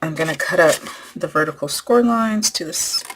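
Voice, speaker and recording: A woman hosting a craft tutorial, losing her voice and clearing her throat as she talks through each step while working. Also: sits low, animated, moderate pace, a bit bright, a little hiss or hum, low-quality recording. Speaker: crafting channel host.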